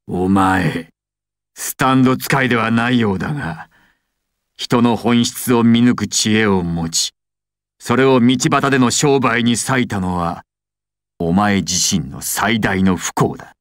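A man's voice: on the low side, measured pace, dry recording, natural voice. Imperious male mafia boss villain voiced by an actor, commanding, secretive and theatrical, anime game style, dry recording. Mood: cold menace. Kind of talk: anime and video game voice acting.

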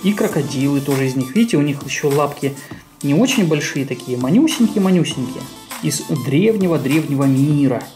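A man talks a child through a toy unboxing. He explains things in simple terms and keeps prompting with questions. Music plays clearly behind him. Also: fairly high pitch, expressive, fairly quick, a little mellow. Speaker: co-host of a children's toy video. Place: small room at home.

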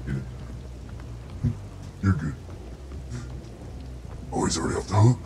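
A man's voice: deep voice, animated, heavy background noise. A man streaming a horror game as the killer, speaking through a voice changer and taunting his friends. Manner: taunting, slow and menacing, laughs often. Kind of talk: casual gaming chat through a voice changer.

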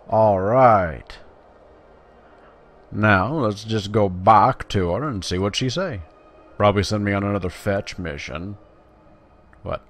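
A man giving casual gameplay commentary, wry and muttering as if to himself. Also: on the low side. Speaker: gamer narrating gameplay.

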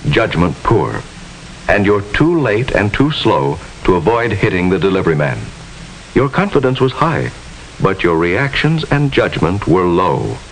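A man's voice: on the low side, low-quality recording. An American man giving formal, instructive narration with precise diction in the style of a 1950s educational film. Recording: hissy 1950s film soundtrack.

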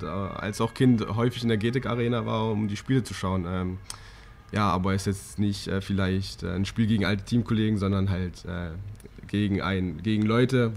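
A man's voice: lowish voice, a little mellow. A young man, a handball player, answering press questions hesitantly with many fillers into a table mic. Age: young adult. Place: hotel press conference room.

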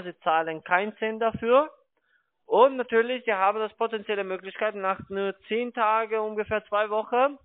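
Higher-voiced salesman on the phone. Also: dry recording. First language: not German. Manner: wordy, repetitive, stumbling phrasing. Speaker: call centre investment salesman. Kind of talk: sales pitch on a phone call. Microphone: telephone. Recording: thin, band-limited phone line, dry.

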